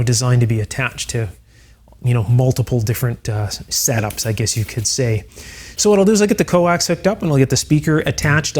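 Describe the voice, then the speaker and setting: A man hosting an electronics restoration video, explaining each step calmly and methodically, with frequent fillers. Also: medium pitch. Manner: calm, methodical, with fillers like "uh" and "you know". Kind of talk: hands-on technical explanation.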